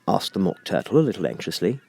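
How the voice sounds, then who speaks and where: Male audiobook narrator, scripted story reading, highly animated pitch, close dry sound. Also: fast talker, gravelly, breathy edge, no echo.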